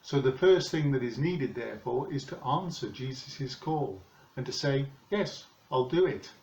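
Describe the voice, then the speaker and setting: A man in his sixties with a British accent, giving a calm, measured, earnest sermon from his living room. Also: mid-range voice, vocal fry. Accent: British English. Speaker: preacher. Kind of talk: recorded home sermon. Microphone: camera in front of him, seated. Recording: roomy, slightly dull home recording.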